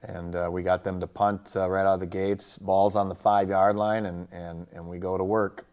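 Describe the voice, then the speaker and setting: A man who coaches football, casually recapping a game and its highlights in colloquial coaching slang. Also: level tone, normal speed, a little mellow.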